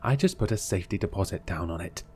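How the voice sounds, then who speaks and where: An indignant heroine arguing hotly, read by a man in his own voice. The tone stays mellow and fairly low even when heated. A faint background hiss sits under it. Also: fast. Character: angry young heroine arguing.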